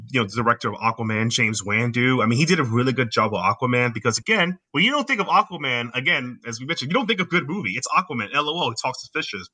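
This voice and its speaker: A man's voice: mid-range voice, bright, forceful tone. A man with a Haitian accent co-hosting a podcast, chatting casually and explaining things at length with enthusiasm. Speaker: podcast co-host. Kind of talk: casual chat.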